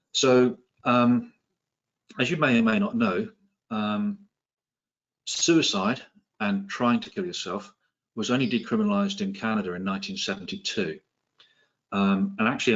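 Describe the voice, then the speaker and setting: A middle-aged man from England, his accent softened by years in New Zealand, giving an online talk as a psychiatrist, with many ums, restarts and dry asides. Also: lowish voice, highs cut off. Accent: English, with some New Zealand influence. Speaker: psychiatrist and presenter. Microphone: video call.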